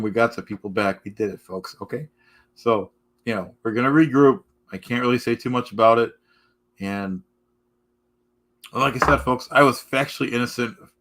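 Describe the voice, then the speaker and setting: A man talks to his viewers on a live stream in a voice going hoarse. He speaks in halting runs, restarting phrases and tagging nearly every sentence with "folks" or "okay". His pitch sits at an ordinary middle level. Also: a bit bright. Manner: repetitive, keeps saying "folks" and "okay". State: losing his voice. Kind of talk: casual live-stream monologue.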